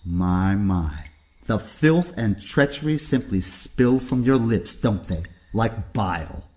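An actor playing a ruthless, contemptuous aristocratic uncle in an audio drama, speaking in a theatrical, condescending way. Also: male voice, lowish voice, lively intonation, takes it slowly, darkish tone. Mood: sneering.

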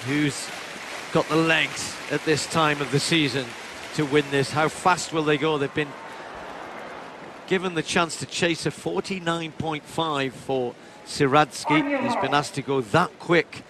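A man giving live play-by-play athletics commentary, building to shouts as the race unfolds. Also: on the high side, a little slow. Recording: broadcast commentary over loud stadium noise.

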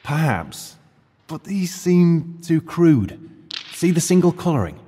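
A man's voice: fairly high pitch, very animated, slow, mellow, breathy edge, exaggerated voice. A man playing a dry British archaeologist. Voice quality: dry, wry and formal. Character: British retired archaeologist turned high school teacher, dry and wry. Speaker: history teacher. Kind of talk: audio drama acting.

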